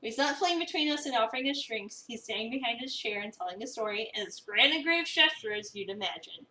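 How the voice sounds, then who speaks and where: A woman narrating a visual novel as an expressive first-person dramatic reading. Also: fairly high pitch, brisk. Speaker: narrator, streamer. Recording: roomy, echoey, distant mic.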